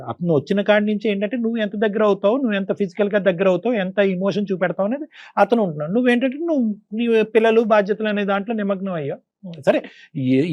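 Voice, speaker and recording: A man's voice: high-pitched, no room sound, dull audio. A middle-aged man hosting a counselling talk, asking probing questions in a paternal way and rephrasing points. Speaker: talk show host counsellor.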